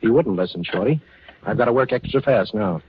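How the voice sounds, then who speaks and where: A man's voice: fairly low pitch, brisk, husky. A man playing a cool-headed, wisecracking amateur sleuth in a 1940s radio crime drama, with a confident, wry manner. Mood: brisk, urgent. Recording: noisy, dull 1940s radio recording.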